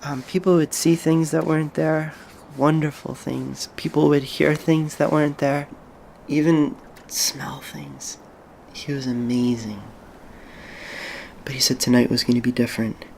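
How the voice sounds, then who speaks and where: An actor playing a lonely, dreamy young janitor, telling his story in a tender, halting, hushed way as in an intimate audio drama. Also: on the high side, flat delivery, vocal fry, a little hiss or hum. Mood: wistful, confiding. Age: young adult.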